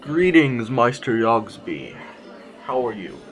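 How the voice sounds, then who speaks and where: A man's voice: medium pitch, sing-song, slow, a bit bright. A man playing a lordly, dismissive sovereign in an audio drama, with a stately, pompous and formal manner. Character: lordly, dismissive male sovereign calming an anxious subordinate.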